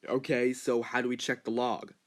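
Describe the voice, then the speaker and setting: A man's voice: medium pitch, flat delivery, normal speed, natural voice. A man playing a stern, distrustful ship captain who speaks bluntly and gives orders. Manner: blunt, commanding.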